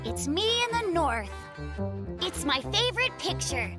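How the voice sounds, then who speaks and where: An actor voicing a mild little polar bear cub in a children's cartoon, deliberate and a little hesitant. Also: very high-pitched, very animated, loud music behind the voice, exaggerated voice. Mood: proud.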